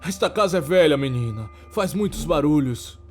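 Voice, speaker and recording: A man's voice: on the high side, animated, moderate pace, faint music behind. Man acting a curt, enigmatic old man. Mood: disdainful. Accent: Brazilian. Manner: curt, dismissive. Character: enigmatic, disdainful old man of a creaky house.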